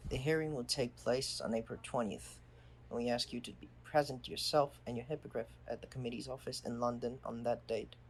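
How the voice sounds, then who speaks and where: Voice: mid-range voice, fairly even intonation, a little fast, slight background noise, close to an everyday voice, low-quality recording. A performer playing an earnest, loyal schoolboy wizard in an audio drama. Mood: reading gravely.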